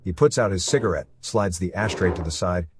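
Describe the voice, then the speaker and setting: A man's voice: sits low, brisk, background noise. A man reading scripted, dramatic narration for a suspense story. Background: music.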